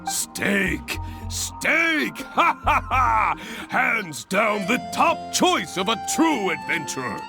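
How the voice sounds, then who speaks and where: An actor playing a hearty, grandiose adventurers' guild examiner in a video game, speaking in formal, exuberant flourishes. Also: male voice, high-pitched, sing-song, slow, creaky, over music, exaggerated voice. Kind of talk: English video game voice acting.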